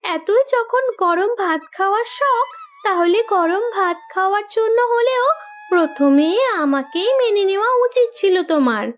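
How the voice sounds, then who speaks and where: A woman's voice: very high-pitched, lively intonation, unhurried. A woman voicing a determined, loving young landlord's daughter in a cartoon story, speaking in an earnest, insistent manner. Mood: affectionate.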